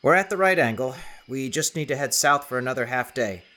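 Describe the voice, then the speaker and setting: A man's voice: medium pitch, animated, brisk, pushed and bright, pitched above the performer's own voice. A man voicing a polite, anxious expedition guide in an audiobook, with a proper, courteous and earnest manner. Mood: matter-of-fact. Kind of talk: audiobook character acting.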